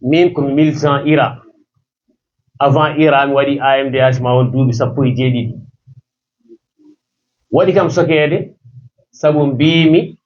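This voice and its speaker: A man speaking at a meeting gives an earnest testimony in a mid-range voice. He sounds distant and somewhat muffled. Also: a little high. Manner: earnest testimony, switching languages. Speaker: activist and speaker. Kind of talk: public speech.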